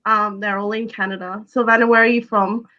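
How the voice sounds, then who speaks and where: A woman's voice: mid-range voice, little pitch movement, fairly quick, dull audio. A woman hosting a casual live chat over a video call, asking her guests questions. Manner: chatty, leads with questions. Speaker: host.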